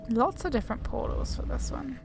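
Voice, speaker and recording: A woman's voice: sits high, lively intonation, brisk, gravelly, over music. A woman giving casual, rambling, informal commentary while playing a video game. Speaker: gaming video host.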